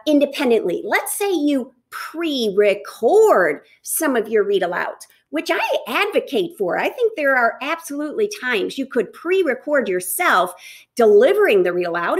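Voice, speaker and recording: A middle-aged woman giving energetic teaching advice to camera in a small office, gesturing as she talks. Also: a little high. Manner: energetic, talks with her hands. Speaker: teacher trainer. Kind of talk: educational advice video. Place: office with wooden cabinets, indoors.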